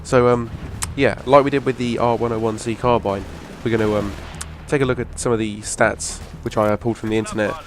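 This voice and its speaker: A hoarse young man, rambling casually through a video game weapon review. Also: mid-range voice, steady tone, a little fast, few pauses, a little hiss or hum. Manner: rambling, restarts a lot, casual swearing. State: hoarse from a cough. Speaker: gaming channel host. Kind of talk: casual gameplay commentary.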